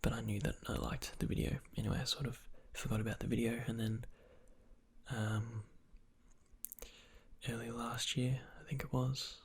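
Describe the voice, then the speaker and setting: A young man, an ASMR channel creator, answers viewer questions in a soft whisper, rambling with fillers. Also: mid-range voice, flat delivery, normal speed, vocal fry, slightly rough.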